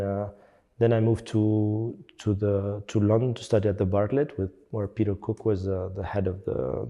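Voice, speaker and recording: A middle-aged man from Belgium talks through his answers slowly, with many fillers and restarts. His voice sits low and has a dark, mellow tone. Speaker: architect and teacher. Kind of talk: reflective interview answer.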